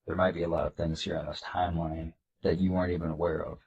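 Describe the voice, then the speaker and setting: Low voiced guy w a stuffy nose from a cold, earnest, kinda hesitant, reading slides for his channel. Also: flat delivery, fast talker, creaky, a little mellow, dull audio. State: congested, nasal from a cold. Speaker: political video host. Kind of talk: casual commentary reading slides aloud.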